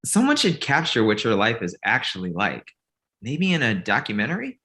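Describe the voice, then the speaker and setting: A man plays a cocky, needy grown son in a comedy script reading. The voice is mid-pitched and bright, swinging widely as he talks with a wry, petulant edge. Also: wildly swooping pitch, moderate pace. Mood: wry, eager. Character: cocky, needy grown son, amateur film buff, living with parents. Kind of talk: comedy script table read.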